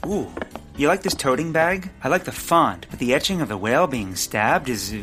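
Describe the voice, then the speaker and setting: An actor playing a goofy, naive, easily distracted amateur sleuth in a comedic audio drama, with a silly, guileless manner. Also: male voice, mid-range voice, expressive, moderate pace, over music, natural voice.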